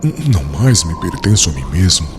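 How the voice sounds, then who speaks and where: A man playing a young rabbi in an audiobook drama, newly blind, speaking humbly and haltingly with deep sorrow. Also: heavily acted. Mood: sad, humble. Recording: clear steady background noise.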